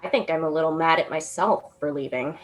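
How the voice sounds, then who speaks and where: A woman's voice: low-pitched, a little fast, lightly acted. An actress in a radio drama playing a young woman at college, tipsy and stammering. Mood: subdued, stunned. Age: young adult. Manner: hesitant, stammering. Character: young woman, college student far from home, tender. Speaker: college student.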